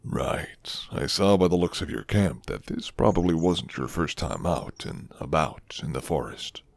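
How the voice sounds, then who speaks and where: A rugged, gentle werewolf of the woods, acted by a man in an intimate ASMR roleplay. His voice sits very low and crackles with gravel, teasing and unhurried. It is recorded close and dry, with a hushed, breathy delivery. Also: expressive, no room sound, exaggerated voice. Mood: soft, amused. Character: flirty, gentle rugged male werewolf living alone in forest.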